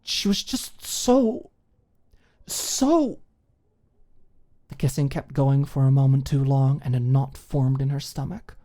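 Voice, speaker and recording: Low-pitched woman narrating an audiobook. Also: slow, pauses often, dark tone. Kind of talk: expressive scripted story narration, ASMR audiobook.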